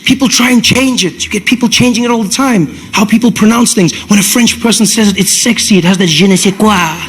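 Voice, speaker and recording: A male comedian doing stand-up, animated, with lots of "you know" tags. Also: high voice, brisk, slightly rough. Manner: animated, swinging into impressions.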